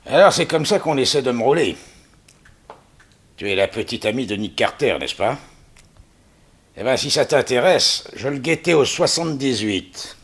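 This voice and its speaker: Casting: murderous radio-serial thief. Mock-polite, greedy, sharp-edged voice with husky grain, swinging widely. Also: male voice, mid-range voice, animated, normal speed, pauses often. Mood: gloating menace. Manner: theatrical, mock-polite, chuckling menace. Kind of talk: 1960s French radio crime serial acting.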